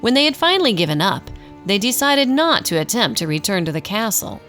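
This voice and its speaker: A woman acting as the narrator of a radio drama, telling a scripted story in a slightly formal, expressive storybook style. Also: mid-range voice, fairly quick, soft background music. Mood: storytelling. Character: storybook narrator, wry and unhurried.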